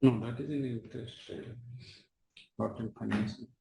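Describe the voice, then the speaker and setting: A man's voice: mid-range voice, fairly even intonation, normal speed, creaky, warm tone. A man with an Indian accent, a finance executive, explaining results on a conference call in a hesitant, fragmented way. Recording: echoey room with faint noise.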